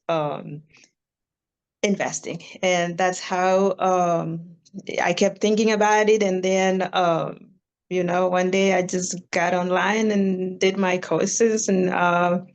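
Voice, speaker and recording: A woman from Kenya, a realtor, answering interview questions in a measured way with frequent fillers. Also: lowish voice. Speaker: realtor guest.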